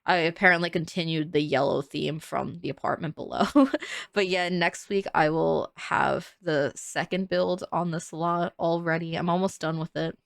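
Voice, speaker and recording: A woman's voice: low-pitched, steady tone, normal speed, creaky, dry recording, clean studio sound. A young woman with a nasal, slightly congested voice, chatting casually over a game build and laughing often. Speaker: Sims builder narrating a speed build.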